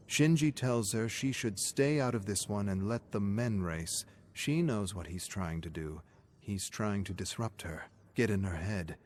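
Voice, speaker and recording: A man's voice: on the low side, darkish tone, slight background noise. A man narrating a scripted dramatic story as its narrator.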